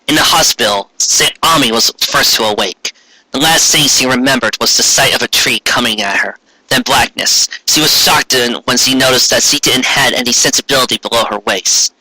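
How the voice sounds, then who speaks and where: A man's voice: mid-range voice, normal speed, bright, forceful tone, breathy edge, no echo, rough recording. A man narrating fan fiction as a dramatic reading, now and then stumbling over the words.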